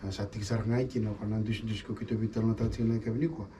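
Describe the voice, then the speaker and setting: Flat, dark-voiced male co-host. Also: fairly low pitch, fast talker. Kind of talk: radio talk show. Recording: distant mic with faint noise.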